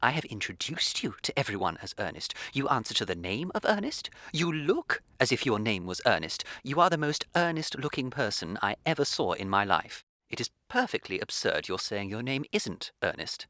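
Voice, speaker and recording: An actor playing a witty, teasing young English gentleman of leisure in a comic radio play, speaking with arch, languid precision. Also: medium pitch, very animated, moderate pace, few pauses, no echo. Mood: insistent, amused.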